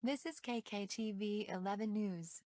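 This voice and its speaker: A woman's voice: mid-range voice, normal speed, warm tone, dry recording. A woman reading a scripted breaking news report in a formal, synthetic-sounding manner. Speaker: news announcer.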